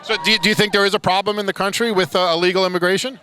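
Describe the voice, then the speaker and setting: A man's voice: high-pitched, steady tone, bright, forceful tone, a little hiss or hum. A man reporting outdoors near a rally, casual and chatty with frequent "uh"s, asking passersby questions. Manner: casual, quick, fills with "uh". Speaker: street reporter. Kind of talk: on-the-street news report.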